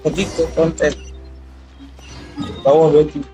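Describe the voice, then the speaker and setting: A man's voice: a little high, steady tone, a little slow, mellow, faint music behind. A man with an Indonesian accent giving casual commentary as he streams a game. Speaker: gaming streamer.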